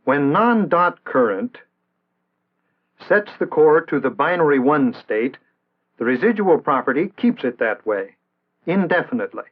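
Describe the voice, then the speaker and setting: An American man reading scripted instructional narration in a measured, formal, didactic way, in the style of an early 1960s training film. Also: a little high, big pitch swings. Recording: tinny band-limited vintage 1960s film soundtrack.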